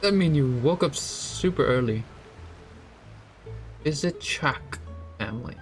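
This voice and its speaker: A man chatting casually as he live-streams a game, halting and repeating himself with mumbled asides. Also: a little high, animated. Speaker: gaming streamer. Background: music and keyboard typing.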